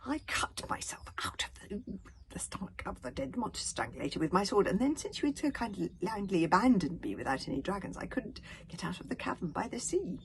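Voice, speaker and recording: A vengeful, sneering male villain from a children's storybook, as a woman reader puts him on. He hisses and drawls with menace, swinging in pitch and often sinking to a breathy whisper. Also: big pitch swings, a little fast. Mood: smug menace.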